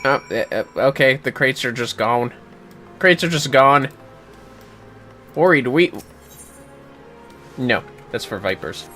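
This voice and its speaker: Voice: mid-range voice, animated, takes it slowly, long pauses, bright, forceful tone, faint music behind. A game livestreamer chatting casually while playing, with wry, sweary asides.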